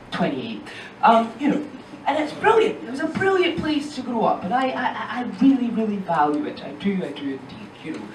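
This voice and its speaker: A woman's voice: mid-range voice, slight background noise. Scottish woman doing stand-up, roomy club. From Scotland. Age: young adult. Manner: chatty, lots of "you know" and "right?" tags. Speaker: stand-up comedian. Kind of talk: stand-up comedy set. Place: comedy club stage. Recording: roomy club sound from a distant mic.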